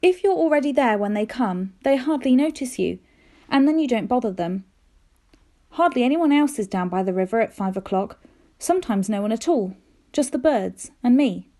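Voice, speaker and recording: Female, storybook reader for children. High, lively pitch, soft-edged mellow tone, expressive delivery. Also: normal speed. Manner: animated, careful storytelling with dramatic emphasis. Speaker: teacher reading aloud to pupils.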